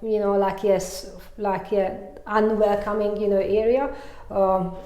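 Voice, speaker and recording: A woman with a Hungarian accent talks through her story in a loose, chatty way, peppering it with "you know" and easy laughs. Her pitch sits in a middle range but barely moves, staying quite level. The sound bounces around an echoey room. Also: fairly even intonation, unhurried, mellow. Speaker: mountain bike coach. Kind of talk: casual interview chat.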